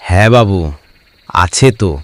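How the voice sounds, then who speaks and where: A man's voice: lowish voice, unhurried, fairly bright tone, no echo. A man playing a superstitious, deferential village storyteller in a cartoon. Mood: earnest, fearful. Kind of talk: cartoon dialogue.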